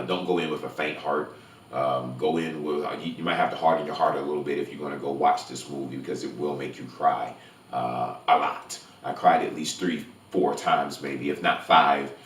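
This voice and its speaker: Deep-voiced man vlogging in an echoey room. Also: a little hiss or hum. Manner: gushing, emphatic, repeats words for emphasis. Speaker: movie review vlogger. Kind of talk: casual spoiler-free film review to camera. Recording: roomy echo, distant from microphone.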